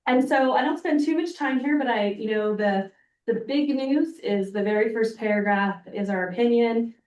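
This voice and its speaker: A woman with a voice made rough by a cold walks a board through an audit report. Her tone is plain and explanatory. She is picked up by a mic some way off in a roomy meeting room. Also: on the high side, moderate pace, darkish tone, distant mic. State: hoarse from a cold. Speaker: external auditor. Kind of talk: presenting a financial report at a public board meeting.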